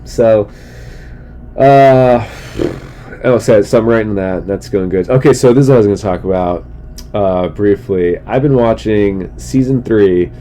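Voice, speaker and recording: A middle-aged man with a stuffy, congested, sick-sounding voice, talking loosely and casually as a solo podcast host, with slangy asides. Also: mellow. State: sick, congested, losing his voice, throat-clearing. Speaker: comedian hosting a solo podcast. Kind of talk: casual rambling monologue. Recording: noticeable background noise with low rumble.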